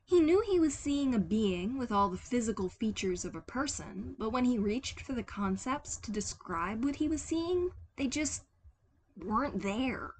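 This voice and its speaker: A woman reading scripted fiction as a narrator, expressively and dramatically, with pauses. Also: fairly high pitch, expressive, a little mellow, low-quality recording. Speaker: audiobook narrator. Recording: clear background noise.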